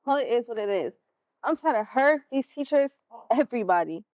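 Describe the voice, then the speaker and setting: A woman's voice: on the high side, animated, brisk, no room sound, dull audio, lightly acted. A woman with an American accent playing a defiant, sullen sixteen-year-old daughter in a stage play, blunt and sulky. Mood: resentful, guarded.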